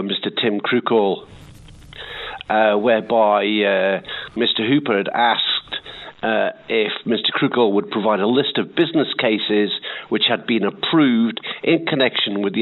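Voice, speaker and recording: A man calling a radio phone-in with a croaky voice, sounding indignant and sarcastic. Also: on the low side. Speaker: caller. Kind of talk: phone-in call, reading out and criticising. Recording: tinny phone line.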